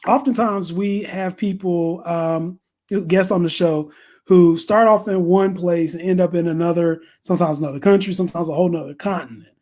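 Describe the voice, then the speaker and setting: A man hosting an interview show in a relaxed, easygoing way, laughing often and restarting his questions. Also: sits high, normal speed. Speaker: interview host. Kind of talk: casual interview chat.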